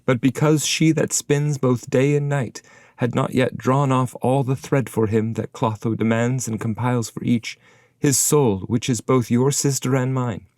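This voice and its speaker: A man reading as wise ghostly guide, raised pitch. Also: darkish tone, dry recording, clean studio sound, natural voice. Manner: formal, declamatory, reasoning. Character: wise, dignified male poet's shade, guide and teacher.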